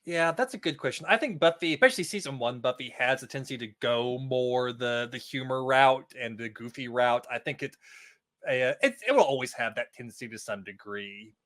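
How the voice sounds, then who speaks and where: A man's voice: medium pitch, brisk, fairly bright tone. A man as a podcast guest, giving his opinions in casual, wry, conversational talk.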